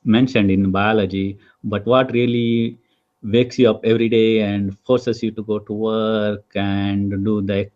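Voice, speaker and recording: A man's voice: lowish voice, darkish tone, muffled recording. A man hosting an interview over a video call, asking questions hesitantly with many fillers. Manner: hesitant, many fillers, frequent listener backchannels. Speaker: host. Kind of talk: interview host.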